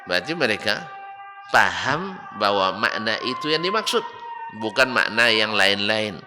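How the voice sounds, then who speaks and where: A male Islamic preacher, with a lilt, giving a lecture and rising to loud, emphatic rebukes and mocking rhetorical questions. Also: fairly low pitch, sing-song, a little slow, over music. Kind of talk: religious study lecture. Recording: reverberant hall with faint background noise.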